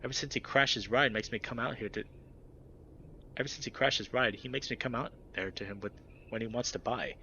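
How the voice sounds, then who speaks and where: A man's voice: medium pitch, fairly quick, creaky, pushed and bright, lo-fi sound, slight background noise. A man doing a crude, laid-back fennec stoner.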